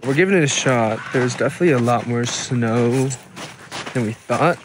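A man's voice: medium pitch, moderate pace, background noise. A young man narrating a casual travel vlog in a matter-of-fact, informative way. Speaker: travel vlogger. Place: outdoors at a snowy canyon overlook. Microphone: phone camera at arm's length.

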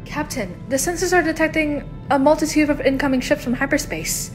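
A woman's voice: sits high, fairly quick, over music, lightly acted. A woman playing a starship officer reporting to a captain in a science fiction audio drama. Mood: alert.

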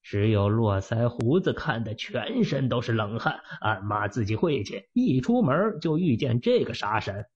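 A man's voice: unhurried, hardly any pauses, slightly rough, highs cut off. A man narrating a fantasy novel as an audiobook, telling the story expressively. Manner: expressive storytelling with lively pitch swings.

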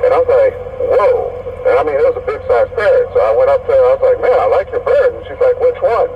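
A man's voice: a little high, sing-song, fast talker. A man casually telling stories as a trucker, heard over a CB radio. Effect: CB radio transmission. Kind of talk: casual storytelling. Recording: dull distant CB speaker, vehicle noise. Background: faint vehicle noise.

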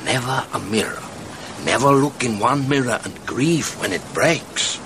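An actor in a radio drama playing a passionate, restless, melancholy officer father, philosophizing in a dramatic, halting way. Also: medium pitch, expressive, noisy background. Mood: brooding intensity.

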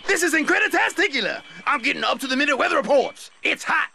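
An actor voicing a big, dim, excitable mutant bandicoot in a cartoon game, goofy and childlike. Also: male voice, very high-pitched, fast talker, creaky, exaggerated voice. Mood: giddy. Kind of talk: cartoon video game acting. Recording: very loud music behind voice.

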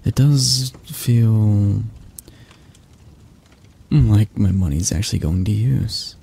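A man playing a possessive, doting mafia boss in an ASMR roleplay, speaking in a husky, halting, intimate and condescending way. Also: fairly low pitch, dark tone, heavily acted. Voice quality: husky, breathy. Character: possessive young male mafia boss, doting yet controlling.

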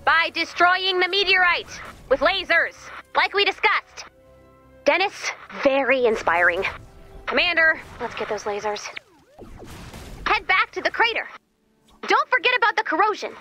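A brisk, caring mission guide in a video game who is easily exasperated. Her voice is very high and bright, chirpy and conversational, over faint music. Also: big pitch swings, heavily acted. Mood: brisk commanding.

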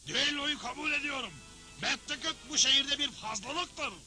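A man's voice: very high-pitched, moderate pace, pushed and bright, faint music behind, heavily acted. A man voicing a bossy, stubborn, boastful Wild West judge in a Turkish cartoon dub. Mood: defiant. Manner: bossy, threatening. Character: bullying, stubborn frontier judge, cantankerous and boastful.

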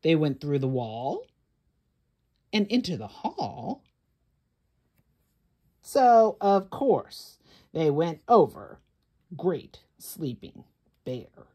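A woman's voice: low voice, sing-song, very slow, pauses often, dark tone, husky. A woman hosting a children's story time, reading aloud in a dramatic, suspenseful way with whispers and gasps.

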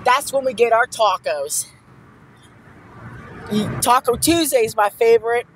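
A young man chats as a passenger in a moving car. He talks slowly and repeats himself, often coughing or clearing his throat. Faint road noise hums behind him. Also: extremely high pitch, expressive, rough recording. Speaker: passenger filming a drive. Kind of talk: casual chat. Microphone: handheld phone camera.

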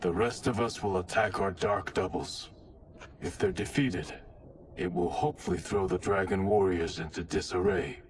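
A stern, low-voiced warrior commander. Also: male voice, lively intonation, normal speed, gravelly, crowd noise. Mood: commanding. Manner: authoritative, terse, formal. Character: stern, commanding, distrustful male ninja warrior. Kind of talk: video game cutscene acting.

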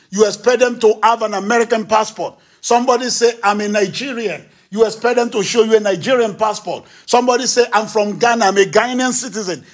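A middle-aged man preaching a sermon from a church stage into a handheld microphone, emphatic and acting out little dialogues. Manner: emphatic preaching, acts out dialogue with rising pitch. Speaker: pastor. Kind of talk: sermon teaching.

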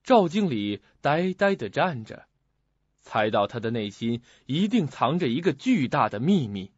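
A man's voice: medium pitch, big pitch swings, measured pace, husky, dry recording. A man giving scripted, dramatic narration for a suspense radio drama. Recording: dull audio with music behind.

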